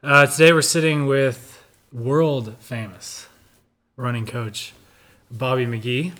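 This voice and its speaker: A man's voice: medium pitch, fairly even intonation, slow pace, a bit bright. A man hosting a casual interview podcast, joking easily and using many fillers. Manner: easygoing, joking, filler-heavy. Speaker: podcast host.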